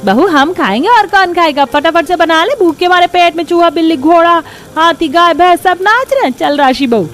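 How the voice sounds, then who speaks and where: A woman's voice: very high voice, moderate pace, a bit bright, soft background music, pitched above the performer's own voice. A woman voicing a stingy middle-aged mother-in-law in a cartoon story, scolding and giving orders. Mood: insistent. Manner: scolding, commanding. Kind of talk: Hindi cartoon story acting.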